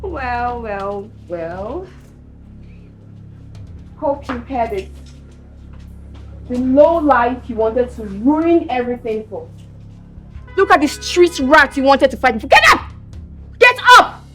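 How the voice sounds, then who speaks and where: A high-pitched young Nigerian princess, proud and quick to scorn. She speaks with a hot temper, in the manner of an emotive film drama. Faint music sits behind her voice. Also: animated, rough recording. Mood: cold contempt. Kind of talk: emotive screen drama acting.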